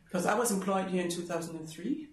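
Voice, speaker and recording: A man's voice: high-pitched, fast. A middle-aged man who works at a university, speaking in an informal seminar discussion. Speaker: university staff member. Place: classroom, indoors. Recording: echoey room with faint noise.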